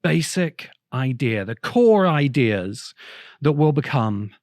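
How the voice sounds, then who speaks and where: A man hosting a podcast as a storytelling monologue, speaking deliberately with dramatic pauses and emphatic repetition. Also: medium pitch, very animated, a little mellow, no echo, clean studio sound. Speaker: podcast host.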